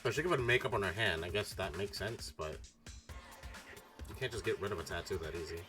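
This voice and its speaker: Slangy gamer dude, kinda low voice, blunt chat over game music. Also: male voice, lively intonation, brisk. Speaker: streamer playing a game with friends. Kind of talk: casual gaming commentary.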